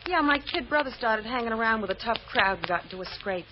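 An actress playing a sympathetic, plain-spoken coffee shop waitress, chatty and obliging, in an old-time radio drama. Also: female voice, fairly high pitch, animated, fast, natural voice. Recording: dull, noisy old radio recording.

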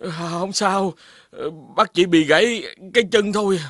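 A man's voice: high-pitched, moderate pace, no room sound, studio quality. A man voicing a caring but scolding father dog in a children's cartoon, exclaiming and instructing. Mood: pained. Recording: loud music over voice.

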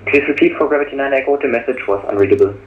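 A thin, echoing radio link carries a man speaking quickly at a middle pitch. He is a pilot reading back instructions in short phrases. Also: phone-quality sound, slight background noise. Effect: radio transmission. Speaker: simulator pilot. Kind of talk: radio readback.

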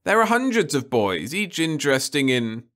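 A man's voice: on the high side, sing-song, normal speed, fairly bright tone, voice pushed up. A man doing a stern, insistent mother. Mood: urgent. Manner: firm, insistent. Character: stern, earnest middle-aged mother.

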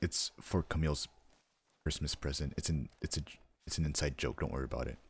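A young man streaming a hunting game, chatting in a deadpan, rambling way from his home desk. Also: extremely low pitch, brisk, gravelly, slightly rough. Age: young adult. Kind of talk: casual chat while gaming. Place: home room, seated.

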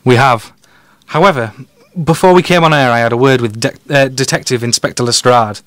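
A man's voice: medium pitch, a little fast, a bit bright, no room sound, close to an everyday voice. Man playing a confident male detective, dry close sound. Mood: confident, persuasive. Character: confident, quick-witted male amateur detective explaining his deductions. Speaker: podcast guest detective. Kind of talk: conversational audio drama dialogue.

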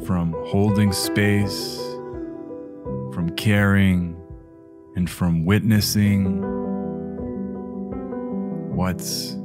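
Male meditation guide, low register, very unhurried, gentle hushed phrasing with long pauses. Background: music.

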